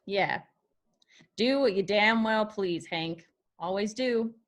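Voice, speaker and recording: A woman reading a wounded, sharp-tongued young country singer with folksy Southern phrasing in a screenplay table read. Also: mid-range voice, slow, lightly acted. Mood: angry, hurt.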